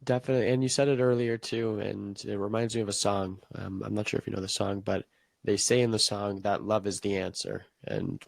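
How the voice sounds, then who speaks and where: A man's voice: lowish voice, flat delivery, a little fast, creaky, no echo. A man hosting a podcast interview, giving short, agreeable replies and sharing his own thoughts in a casual tone. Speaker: podcast host.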